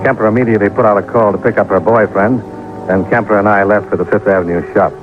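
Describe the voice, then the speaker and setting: A man's voice: lowish voice, fairly even intonation, quick pace, noisy background, natural voice. An American man playing a wry, unflappable insurance investigator in an old-time radio detective drama, dry and casual. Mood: brisk narrating. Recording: dull old radio recording, music behind.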